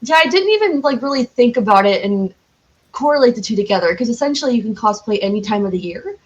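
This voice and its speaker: A woman's voice: fairly high pitch, lively intonation, quick pace, dull audio. A woman from the United States chatting casually as a podcast guest, rambling and correcting herself as she goes. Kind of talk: casual chat.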